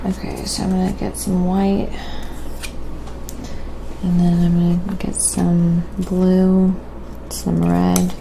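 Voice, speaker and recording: A soft-spoken woman hosting a casual, quiet ASMR painting livestream, thinking aloud in an unhurried way as she works. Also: lowish voice, completely flat delivery, vocal fry, noisy background.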